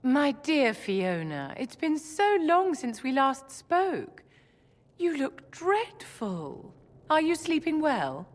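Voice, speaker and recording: A haughty aristocratic enchantress in a fantasy game. She drawls very slowly in a highish, swooping voice, sweetly condescending. The sound carries long hall reverb. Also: huge pitch swings, exaggerated voice. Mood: mock-sweet disdain. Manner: languid, mock-sweet, condescending.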